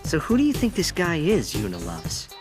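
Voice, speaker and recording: A lovelorn young man in an audio drama, boyish and earnest with a determined streak. His pitch swings widely, and music plays clearly behind him. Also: wildly swooping pitch, normal speed. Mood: confused, defensive.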